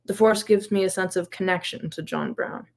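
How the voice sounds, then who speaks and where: A woman's voice: mid-range voice, steady tone, fairly quick, darkish tone. A woman giving a prepared, reflective presentation as a history investigator.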